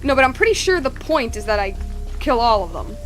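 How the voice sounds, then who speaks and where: A woman's voice: fairly high pitch, very animated, brisk, a bit bright, soft background music. A woman streamer giving casual gameplay commentary, thinking aloud in repeated questions. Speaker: game streamer.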